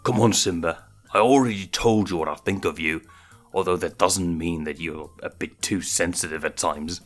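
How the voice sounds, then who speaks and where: A man's voice: deep voice, normal speed, soft background music. A man voicing a sly young male lion cub who is easily irritated and teases dryly, in a story reading.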